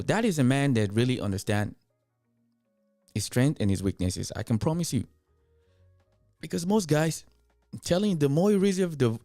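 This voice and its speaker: An emphatic Nigerian man hosts a reaction commentary, his pitch swinging widely as he presses his points and says them twice for weight. The sound is dry and close, with faint music underneath. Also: warm tone, no echo. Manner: emphatic, repeats himself to drive points home. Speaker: commentary show host. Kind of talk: reaction commentary, addressing viewers.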